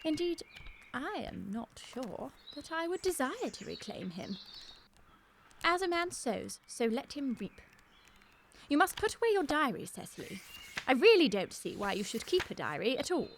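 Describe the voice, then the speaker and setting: A woman's voice: high-pitched, big pitch swings, moderate pace, slight background noise. A woman playing a stern, prim Victorian governess in a period radio comedy, speaking precisely like a moralising schoolmistress. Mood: disapproving.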